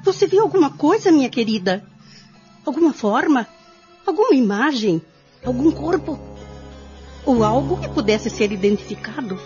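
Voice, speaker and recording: A woman's voice: sits high, big pitch swings, normal speed, long pauses, a little mellow. A woman playing an anxious, motherly lady of the house in a radio soap, theatrical and soothing. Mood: concerned, anxious. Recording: dull lo-fi radio play with music.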